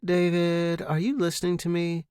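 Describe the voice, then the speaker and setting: A man voicing a brisk, prying young female coworker in an audio story, casual and pointed. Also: high-pitched, flat delivery, a little mellow, clean tone, no room sound, clean studio sound, pitched above the performer's own voice, lightly acted.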